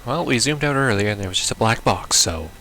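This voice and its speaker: A man's voice: fairly low pitch, lively intonation, a little fast, pushed and bright, faint music behind. A man giving casual let's-play commentary on a visual novel, reading text aloud and reacting in a wry, offhand way. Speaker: gamer playing a visual novel.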